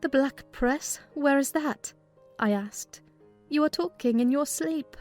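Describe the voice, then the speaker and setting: A woman plays a sensible housekeeper telling a story from a classic novel. Her fairly high voice is measured in narration and turns firm and scolding in dialogue, over soft music. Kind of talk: dramatized first-person narration of a classic novel.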